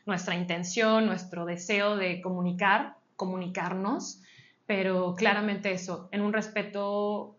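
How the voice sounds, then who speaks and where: A woman from Mexico co-hosts a podcast at a middling pitch. She talks in a thoughtful, measured way and stacks phrase after phrase into lists. The recording is slightly muffled. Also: flat delivery, a bit bright. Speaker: podcast co-host. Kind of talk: reflective podcast conversation. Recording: slightly dull lo-fi sound.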